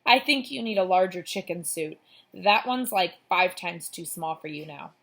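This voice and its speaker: A woman's voice: fairly low pitch, lively intonation, normal speed, vocal fry, fairly bright tone, heavily acted. Woman doing a young girl from a storybook, teasing, breezy kid talk, voice lifted a bit. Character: young girl, loyal, teasing, quick to cover up.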